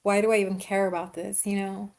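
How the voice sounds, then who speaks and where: A woman screenwriter talking casually and haltingly about her writing in an online meeting. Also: medium pitch, level tone, vocal fry, darkish tone. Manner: hesitant, informal, halting explanations. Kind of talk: casual discussion in online table read.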